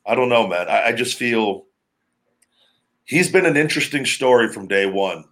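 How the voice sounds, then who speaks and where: A man with a heavy, booming voice, talking shop on a casual podcast. He rambles freely, circling back on his thoughts, at a mid pitch for a man. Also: expressive, normal speed, fairly bright tone. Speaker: podcast host, former pro wrestler.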